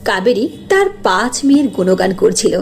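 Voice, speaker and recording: A woman's voice: mid-range voice, animated, normal speed, vocal fry. A woman narrator reading a scripted cartoon story.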